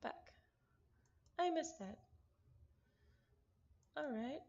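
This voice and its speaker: A woman's voice: high-pitched, animated, drawn-out words, warm tone, highs cut off. A woman reading a visual novel aloud in an informal way, breaking off for casual asides and apologies. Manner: informal, self-interrupting, apologetic about name pronunciation. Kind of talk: casual read-aloud of a game script with ad-libbed asides.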